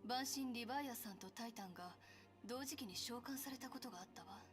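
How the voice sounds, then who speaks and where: A woman's voice: on the high side, racing, natural voice. An actress voicing a composed, knowledgeable woman giving a serious briefing in a Japanese video game. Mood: serious, explanatory. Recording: game music loud behind the voice.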